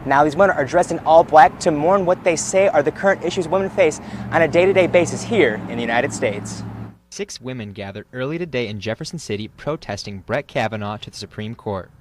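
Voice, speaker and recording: A male television reporter reads scripted news in a slightly high voice. He narrates stories and stand-ups as a field correspondent, with faint ambient noise behind him. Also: animated, a little fast.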